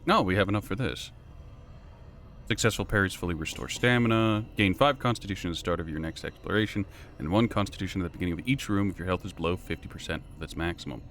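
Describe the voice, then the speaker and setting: A man streaming a video game, with a deep voice and dry, muttered asides as he plays. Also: fast talker, a bit bright. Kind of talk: casual gameplay commentary.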